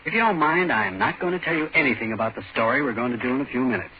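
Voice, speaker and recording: A man's voice: medium pitch, expressive, rapid-fire, a little hiss or hum. A man hosting a 1940s radio show, delivering a spoken introduction. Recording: tinny, narrow 1940s radio broadcast.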